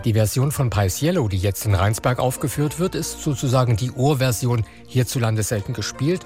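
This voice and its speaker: A male radio reporter delivering a scripted news report in an even, measured way. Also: medium pitch, faint music behind.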